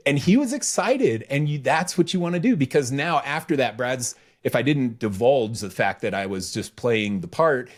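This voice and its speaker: A man's voice: medium pitch, expressive, moderate pace, few pauses. Emphatic man coaching on a podcast. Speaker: podcast host, business coach. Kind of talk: casual instructive podcast talk.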